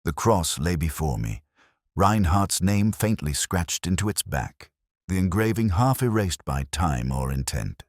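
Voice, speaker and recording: A man voicing a weary middle-aged Oxford detective, narrating in first person in a measured, reflective way. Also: low-pitched, big pitch swings, dry recording, studio quality, exaggerated voice. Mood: brooding. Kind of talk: scripted audio drama narration.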